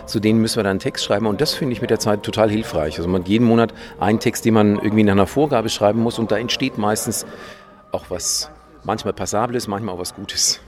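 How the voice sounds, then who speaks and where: A man's voice: fairly low pitch, fast. A man who works as a teacher and writes slam poetry, answering interview questions casually and hesitantly, with many fillers.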